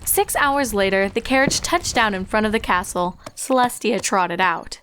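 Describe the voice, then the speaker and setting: A woman's voice: mid-range voice, animated, moderate pace, a bit bright, slight background noise. A woman narrator reading a scripted story aloud. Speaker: fan fiction narrator.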